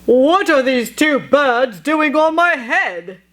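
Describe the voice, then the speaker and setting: A woman's voice: high voice, expressive, takes it slowly, fairly bright tone, exaggerated voice. A woman reading a children's picture book, voicing an anxious, exasperated elephant in a stammering, dramatic way. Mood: bewildered. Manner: stammering, exclaiming, dramatically dismayed. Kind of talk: expressive children's read-aloud.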